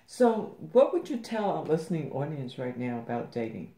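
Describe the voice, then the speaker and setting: Calm woman host with lively pitch. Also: normal speed. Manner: calm short affirmations and follow-up questions. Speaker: show host. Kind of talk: interview. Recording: roomy echo.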